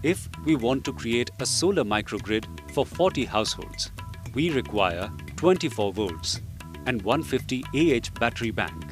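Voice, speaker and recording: A man reading scripted instructional narration in a measured, explanatory way. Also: medium pitch, animated. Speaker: training film narrator. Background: background music.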